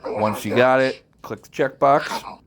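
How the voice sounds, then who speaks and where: A man narrating an unscripted software tutorial, informally walking the listener through it step by step. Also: medium pitch, a little slow. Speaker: tutorial presenter.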